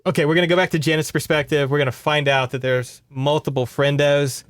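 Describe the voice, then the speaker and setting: A man's voice: on the high side, little pitch movement, brisk, no echo, studio quality. A man hosting a podcast, giving a casual, rambling, sweary book report in conversation. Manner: informal, sweary, rambling storytelling.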